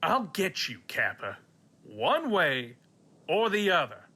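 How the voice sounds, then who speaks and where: A man's voice: fairly high pitch, huge pitch swings, slow, sharp tone, slightly rough, exaggerated voice. A man playing a menacing, possessive rancher in a western radio drama, belligerent and hot-tempered. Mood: threatening.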